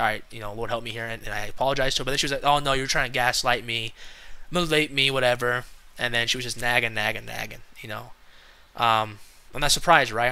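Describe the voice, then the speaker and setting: Young male lay preacher, twenties, very bright tone, earnest and halting with fillers. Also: medium pitch, smooth. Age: 20s. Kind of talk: casual spoken sermon to camera.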